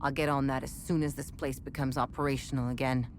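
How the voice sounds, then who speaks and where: An actress playing a chatty, wry military research scientist in a video game, explaining things in a measured, conversational way. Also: female voice, rumbling low, little pitch movement, noisy background. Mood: matter-of-fact. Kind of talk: scripted game dialogue, conversational exposition.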